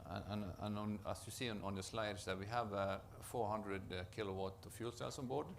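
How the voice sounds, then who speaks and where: A man with a low, gravelly voice and a Norwegian accent gives a conference presentation. He speaks haltingly, often stopping to restart and repeat words. His voice is deep and creaky throughout. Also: steady tone, background noise. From Norway. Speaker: project manager presenting at a conference.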